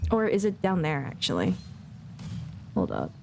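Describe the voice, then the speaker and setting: A woman's voice: low-pitched, huge pitch swings, normal speed, a little mellow, slight background noise. A woman giving casual, chatty live commentary as a game streamer, with playful asides. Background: faint game music.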